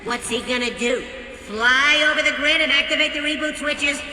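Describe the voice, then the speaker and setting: An actor voicing a small, sour alien worker in a video game, sneering and sarcastic. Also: male voice, extremely high pitch, moderate pace, sharp tone, echoey room, big-room sound, recorded from a distance, a little hiss or hum, full character voice. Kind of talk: video game cartoonish acting.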